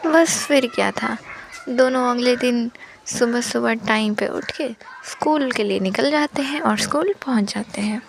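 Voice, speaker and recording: A woman narrates a children's moral tale. Her voice is a little high, and there is faint noise in the recording. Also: unhurried, gravelly, poor audio. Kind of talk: scripted children's story narration.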